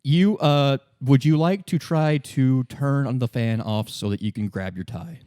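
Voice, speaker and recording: A man's voice: medium pitch, mellow, dry recording. Wry man narrating a game, dry close sound. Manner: halting, informal, wry. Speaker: game master. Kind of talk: casual improvised narration of a tabletop game.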